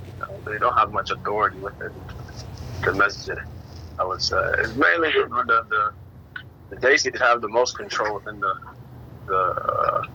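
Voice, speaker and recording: A man's voice: mid-range voice, bright, forceful tone, a little hiss or hum. A man in a casual group discussion, speaking hesitantly with many restarts, on a dull lo-fi recording. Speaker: discussion participant.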